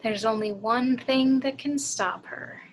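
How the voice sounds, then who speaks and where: A woman voicing a possessed, motherly woman with slow, deliberate, soothing menace in an amateur table read. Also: a little high, slight background noise, rough recording. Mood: sinister, storytelling. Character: sinister motherly woman possessed by a vengeful ghost.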